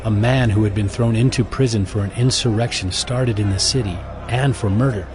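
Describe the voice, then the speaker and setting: A man's voice: lowish voice, fairly quick, background noise. A man reading scripted scripture narration as the narrator of a drama. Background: music.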